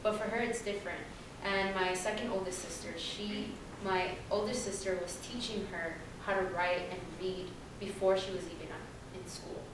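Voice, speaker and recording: A woman's voice: medium pitch, steady tone, moderate pace, noisy background. A young woman on a panel telling her family's story in a loose, chatty way, full of fillers. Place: large echoey room. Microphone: distant room mic. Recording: echoey hall recorded from far off.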